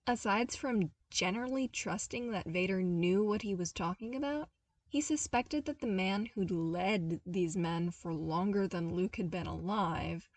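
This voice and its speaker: A woman reading a story aloud in an expressive, scripted narration style. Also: lowish voice, no echo, recorded from a distance. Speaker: podfic narrator reading fan fiction.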